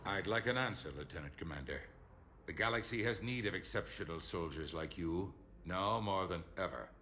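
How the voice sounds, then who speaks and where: An actor playing a formal, insistent senior politician in a video game, with faint ambient noise behind him. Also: male voice, deep voice, moderate pace, sharp tone. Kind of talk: video game cinematic dialogue acting.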